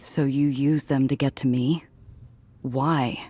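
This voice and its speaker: A woman's voice: extremely low pitch, steady tone, takes it slowly, warm tone, breathy edge, exaggerated voice. A woman playing a tough, guarded smuggler who narrates her own noir game story in a wry way. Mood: guarded, challenging.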